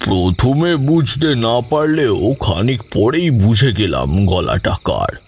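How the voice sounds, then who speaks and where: A man plays an old grandfather telling a horror story. His voice is husky and slow, swinging expressively as he muses over grim memories with suspense. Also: medium pitch, very animated, full character voice. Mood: grave, reminiscing. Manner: measured, suspenseful, conversational elder's musing. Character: old grandfather, bold adventurer recounting eerie memories. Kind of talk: dramatic first-person horror storytelling.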